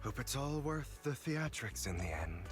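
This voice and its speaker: Guy acting a sly theatrical young game schemer, really animated and teasing, music behind him. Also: medium pitch, moderate pace, natural voice. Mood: smug teasing. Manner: teasing, mock-polite, dramatic. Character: theatrical, smug, sly young male trickster. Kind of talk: dramatic video game acting.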